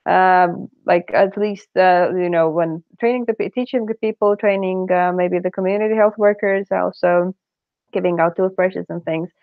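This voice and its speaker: A woman's voice: lowish voice, steady tone, moderate pace, no room sound. A woman speaking hesitantly, with many fillers and restarts, as a panelist in a casual online discussion. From Estonia. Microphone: video call. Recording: dry, phone-like video-call audio.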